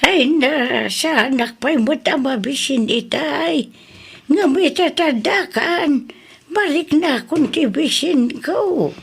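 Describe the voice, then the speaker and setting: Woman playing a creaky, high-voiced Ilocano grandma. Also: animated, slow, full character voice. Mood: fretful, concerned. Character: elderly doting, worried Ilocano grandmother. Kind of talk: radio drama acting.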